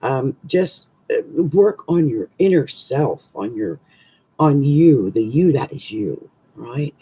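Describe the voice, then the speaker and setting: Slow, hoarse woman giving a forecast. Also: rumbling low, lively intonation, warm tone. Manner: slow, rambling, many fillers and restarts. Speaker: astrologer. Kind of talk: casual astrology forecast. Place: small room at home, indoors. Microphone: webcam at desk.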